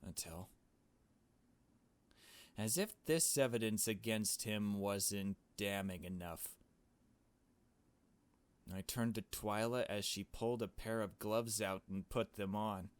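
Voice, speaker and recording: A man's voice: on the low side, slow pace, long pauses, smooth, no echo. A man reading a visual novel aloud as narration, as a player commenting on the story along the way.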